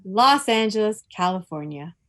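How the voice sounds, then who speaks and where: A woman's voice: medium pitch, animated, moderate pace, a bit bright. A woman chatting casually and reading out quiz answers as a club member on a video call.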